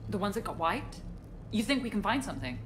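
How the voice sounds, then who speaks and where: An actress playing an eager, anxious junior military officer in a video game, earnest and fretful. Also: female voice, medium pitch, very animated, fast talker, a little hiss or hum, lightly acted. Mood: apologetic, hopeful. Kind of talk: video game cutscene dialogue.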